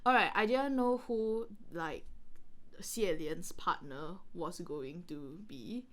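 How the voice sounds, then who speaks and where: A woman's voice: fairly low pitch, takes it slowly. A woman co-host chatting casually on a read-along podcast in a giggly, rambling way. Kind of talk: casual reaction chat.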